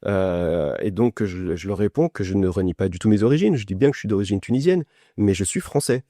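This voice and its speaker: A man's voice: fairly low pitch, animated, brisk, warm tone, dry recording, studio quality. A man from France answering as a podcast guest in a conversational, personal way, often restarting and repeating words. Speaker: podcast guest, essayist and journalist.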